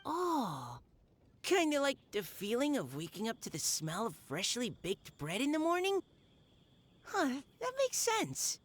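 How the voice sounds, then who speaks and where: A woman's voice: on the high side, wildly swooping pitch, a little slow, breathy edge, exaggerated voice. A woman voicing an earnest, sincere young knight who once cooked for an expedition.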